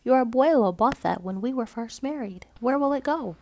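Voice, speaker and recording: A woman's voice: on the high side, lively intonation, normal speed, noisy background, voice pushed up. A woman acting a kindly old grandmother, Central American character, affectionate, sing-song, storybook reading. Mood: fond, cheerful.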